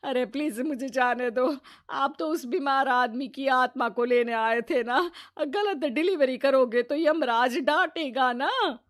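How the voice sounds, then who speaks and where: A woman's voice: high voice, unhurried, few pauses. A woman voicing an elderly, suspicious and boastful mother-in-law in a cartoon story, theatrical and fussy in her delivery. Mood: pleading, alarmed. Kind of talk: Hindi cartoon storytelling dub.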